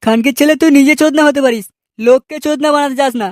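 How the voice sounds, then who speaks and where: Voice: high voice, very fast, no echo, clean studio sound, close to an everyday voice. A performer voicing an abusive, scornful villager in a Bengali comedy cartoon, with a crude taunting manner.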